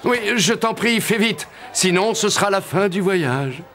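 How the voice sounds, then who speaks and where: High-voiced, gallant young cartoon soldier. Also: male voice, lively intonation, a little slow. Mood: confident. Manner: polite, assured. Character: brave, courteous young soldier, calm and wily. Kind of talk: animated film acting.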